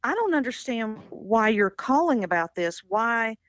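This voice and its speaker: A woman's voice: a little high, sing-song, measured pace, a bit bright, no echo, clean studio sound. A middle-aged woman in an unscripted phone conversation, speaking bluntly with incredulous, defiant pushback. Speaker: prank call target, a mother.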